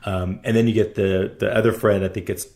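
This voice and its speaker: A man hosting an interview, talking in a halting way with many fillers. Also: fairly low pitch, level tone, vocal fry, darkish tone. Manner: halting, many ums and you-knows. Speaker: interview host. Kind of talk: casual interview talk.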